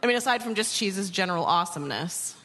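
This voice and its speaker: A woman hosting a conversational live interview, asking questions in a tentative, halting way. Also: mid-range voice, lively intonation, very noisy. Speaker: radio show host interviewing. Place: large hall, live radio show. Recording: reverberant live hall.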